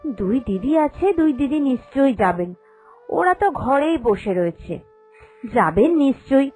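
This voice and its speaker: Very high, gentle young daughter-in-law woman acting. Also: sing-song, moderate pace, soft background music. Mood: worried. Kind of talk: animated cartoon dialogue.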